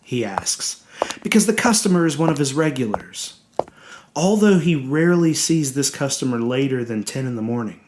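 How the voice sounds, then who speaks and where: A man reading a horror story as dramatic, scripted narration, deliberate and suspenseful, dwelling on his words. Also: mid-range voice, expressive, darkish tone.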